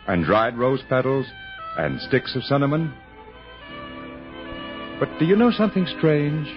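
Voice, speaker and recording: Music plays clearly behind a muffled vintage radio recording of a genial male storyteller. He is polished and confiding, with an expressive, animated delivery. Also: mid-range voice, unhurried. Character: urbane, genial male Hollywood storyteller addressing listeners. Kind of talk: old-time radio drama narration.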